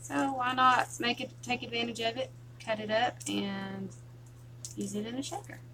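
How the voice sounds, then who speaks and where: A woman hosting a casual crafting livestream, chatting softly with viewers while she works. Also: on the high side, steady tone, normal speed, noisy background. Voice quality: soft, relaxing voice.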